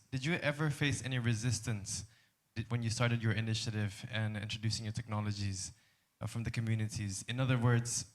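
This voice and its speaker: A man from Indonesia politely putting a question to a panel as an audience member. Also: on the low side.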